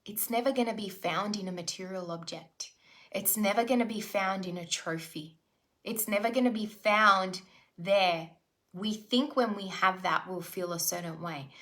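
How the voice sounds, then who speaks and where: A middle-aged woman runs a live self-development session online. Her voice is bright and forward. She speaks conversationally and keeps pulling listeners in with rhetorical questions and quick check-ins. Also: medium pitch. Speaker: spiritual life coach. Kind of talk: live online workshop talk.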